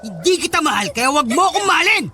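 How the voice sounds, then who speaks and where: Cold young boyfriend, Filipino accent, high voice, curt, scornful delivery, radio drama, music underneath. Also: male voice, sing-song, very fast. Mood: angry, dismissive. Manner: curt, harsh, dismissive. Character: callous young boyfriend refusing responsibility for pregnancy.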